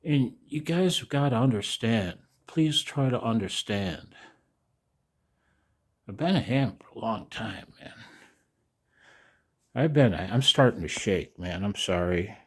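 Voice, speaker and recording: An older man talking casually and in a rambling way to his viewers as a video host, folksy and halting, with a gravelly voice. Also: on the low side, animated. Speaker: amateur radio operator and video host. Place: outdoors in the cold.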